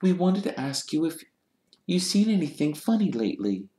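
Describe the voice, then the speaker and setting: A reader doing a brisk, high-voiced sensible schoolgirl. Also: big pitch swings, warm tone, natural voice. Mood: hurried. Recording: roomy echo.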